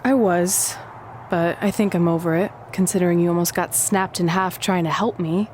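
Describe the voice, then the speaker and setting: A woman's voice: lowish voice, steady tone, normal speed, a little mellow, slight background noise, natural voice. A woman playing a supportive, down-to-earth young female wrestler in a video game cutscene, speaking casually and sincerely. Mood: concerned, supportive.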